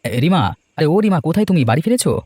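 A man's voice: high voice, lively intonation, rapid-fire, mellow, slight background noise. A man voicing a supportive, plain-spoken village husband in a cartoon, chatty and encouraging. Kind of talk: cartoon dubbing.

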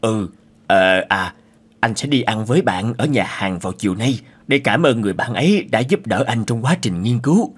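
A man's voice: on the low side, animated, normal speed, breathy edge. An actor playing an earnest, anxious middle-aged male inventor in a cartoon, speaking expressively. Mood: earnest, grateful. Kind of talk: animated moral-tale cartoon dubbing.

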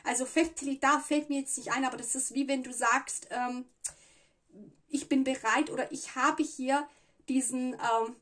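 A fairly high, breathy woman talks through a tarot spread to camera. She chats along loosely, pausing to search for words and tossing in little "ja" fillers. It sounds like a small room at a table. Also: fairly even intonation, fairly bright tone. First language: Italian. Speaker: tarot reader. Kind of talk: casual tarot reading to camera.

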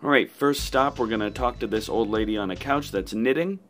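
A man's voice: mid-range voice, brisk, a bit bright, a little hiss or hum. A man hosting a casual video game playthrough commentary. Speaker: gaming channel host.

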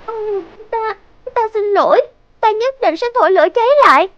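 Very high-voiced timid young princess. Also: female voice, moderate pace, no echo, highs cut off. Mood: anxious, apologetic. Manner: polite, hesitant, earnest. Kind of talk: cartoon fairy-tale dubbing.

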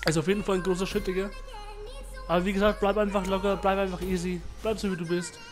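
A young man with a stuffy, congested nose from a cold talks loosely over game footage, dropping fillers and slang as he hosts his channel. Loud music runs under his voice the whole time. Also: high voice, little pitch movement, fast talker. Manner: laid-back slangy chat with many fillers. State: has a cold, blocked nose. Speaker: gaming channel host. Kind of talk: casual gameplay commentary.

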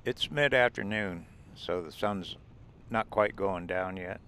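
A man's voice: low voice, takes it slowly, noisy background. A man vlogging about RV travel, narrating casually as he drives desert roads and points out the sights. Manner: relaxed, rambling, points out sights as he goes. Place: inside a vehicle driving desert roads.

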